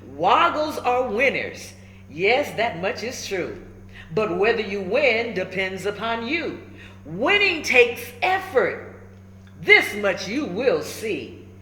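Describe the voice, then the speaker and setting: A woman reading a children's book aloud, emphatic and instructive, pausing between short phrases and adding encouraging asides. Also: mid-range voice, very animated, a bit bright. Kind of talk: animated storybook read-aloud with encouraging asides. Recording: echoey room with faint background noise.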